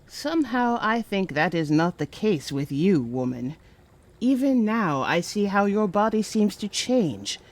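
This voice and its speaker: A commanding, suspicious noblewoman, played by an actress, talks very slowly and sternly. Her pitch moves dramatically, in fantasy audio drama style. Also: very animated. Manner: stern, deliberate.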